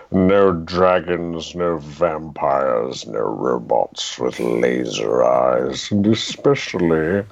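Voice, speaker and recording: A man telling anecdotes as a guest author in an interview, with a dramatic, deliberate storytelling manner. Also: extremely low pitch, creaky, muffled recording. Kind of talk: podcast interview, personal anecdotes.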